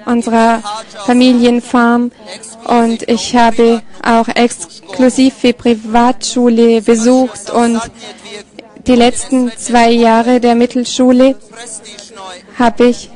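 Slow, even-toned woman interpreting. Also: fairly high pitch. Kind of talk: live consecutive interpreting of a testimony.

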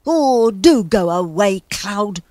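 A woman's voice: on the high side, lively intonation, slow pace, a little mellow, no echo, voice pushed up. A woman voicing a grumpy male bear in a children's story, with a blunt, emphatic delivery. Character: grumpy male bear who likes his comforts.

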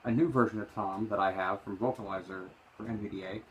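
A man talking off the cuff as he explains and demonstrates, in a halting, meandering, opinionated way. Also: on the low side, steady tone, a little hiss or hum, low-quality recording. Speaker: vlogger demonstrating screen reader voices. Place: small room indoors. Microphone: handheld phone, later lying on desk.

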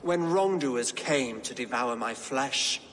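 An actor playing an austere, pious Saxon king in a video game, speaking formally and deliberately, as if preaching. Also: medium pitch, expressive, slight background noise. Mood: solemn. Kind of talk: dramatic video game acting. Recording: long hall reverb, loud music behind.

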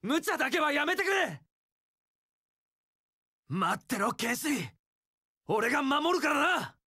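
An actor playing a carefree, romantic young wandering warrior with a tender streak in an action game, theatrical, breezy and poetic. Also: male voice, very high-pitched, big pitch swings, slow, bright, forceful tone, no room sound, clean studio sound, heavily acted. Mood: concerned. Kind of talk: Japanese action game voice acting.

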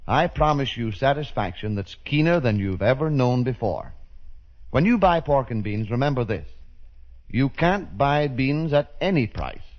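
A man reading a 1930s radio advertisement as announcer, with measured, polished salesmanship. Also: medium pitch. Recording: dull 1930s radio recording.

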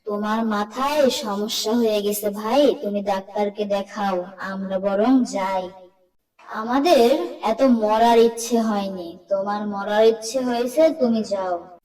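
A woman's voice: medium pitch, slow pace, a little mellow, lightly acted. A woman voicing a scornful, fearful cartoon townsperson, mocking and dismissive, in a distant recording with long reverb. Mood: incredulous, mocking. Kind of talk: cartoon story dialogue.

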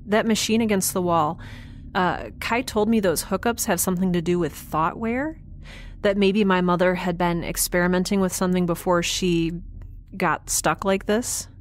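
A woman as a low-voiced young space scientist. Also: natural voice. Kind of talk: naturalistic audio drama acting.

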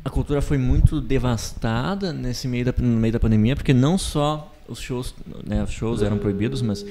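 A man's voice: mid-range voice, normal speed. A young man, talking casually as a podcast host into a close studio mic. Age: young adult. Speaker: podcast host, music teacher. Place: small indoor podcast studio. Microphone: close studio mic on a boom arm.

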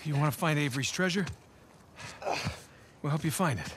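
An actor playing an earnest, anxious American adventurer in a video game, speaking in an urgent, imploring way. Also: male voice, a little high, lively intonation, normal speed, slight background noise, natural voice. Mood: pleading, bargaining. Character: earnest, anxious male adventurer pleading and bargaining. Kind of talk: video game cutscene acting.

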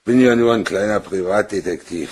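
An actor playing a wry, self-deprecating small-time private detective in a German crime radio play, dry and laconic. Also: male voice, fairly low pitch, a little mellow, breathy edge.

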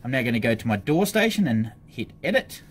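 A man's voice: medium pitch, very animated, brisk. A man giving a casual hands-on product tutorial, informally talking through each step as he works at an office desk. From Australia. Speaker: product demonstrator for an intercom supplier. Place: indoor office desk area. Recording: clear background noise, room ambience.

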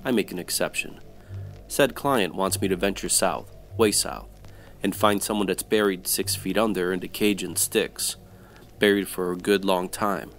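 A man playing a wry, world-weary private detective narrating a 1940s-style radio noir, terse, slangy and deadpan. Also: deep voice.

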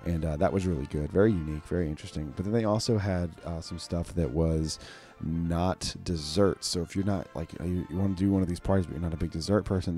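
A man hosting a casual solo podcast in a rambling, enthusiastic way, his voice sounding lower than usual. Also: warm tone. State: voice sounding unusually low today. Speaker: podcast host.

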